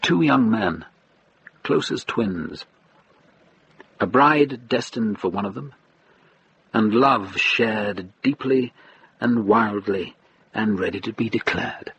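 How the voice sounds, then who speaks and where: A man narrating a radio drama in a deliberate, literary storytelling style. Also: lowish voice, a bit bright, a little hiss or hum, rough recording.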